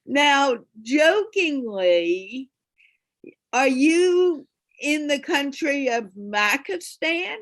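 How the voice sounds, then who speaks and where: Slow-talking high-voiced woman host. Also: lively intonation. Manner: playful, mock-disbelieving, slow and drawn out. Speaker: host of an English-speaking practice show. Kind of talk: teasing casual chat on a video call. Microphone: video call.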